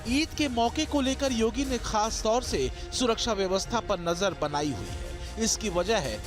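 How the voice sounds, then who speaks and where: A man delivering dramatic scripted television news narration in an emphatic, rhetorical manner. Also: high voice. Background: background music.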